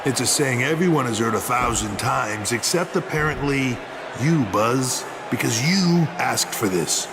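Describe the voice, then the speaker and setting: A man playing a menacing wrestling authority boss, delivering a deliberate, smugly threatening promo in a video game. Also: medium pitch, expressive, background noise, lightly acted. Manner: deliberate, menacing, drawn-out emphasis.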